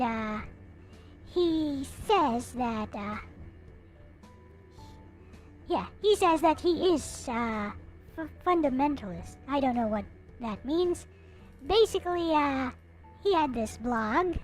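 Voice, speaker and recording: A woman hosting a live stream in casual chat, rambling along with frequent hesitant pauses. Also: high voice.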